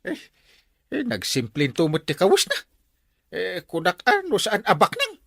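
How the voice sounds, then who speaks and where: A man's voice: sits high, wildly swooping pitch, moderate pace, creaky, a bit bright, no room sound, studio quality, heavily acted. A man playing a flustered, grumbling village captain who stammers and exclaims, in an Ilocano comedy audio drama. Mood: flustered, defensive.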